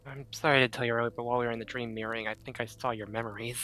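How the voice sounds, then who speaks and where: A woman's voice: extremely low pitch, fairly even intonation, very fast, a bit bright, soft background music. A woman casually reacting to a game on a live stream, reading bits aloud and making murmured asides.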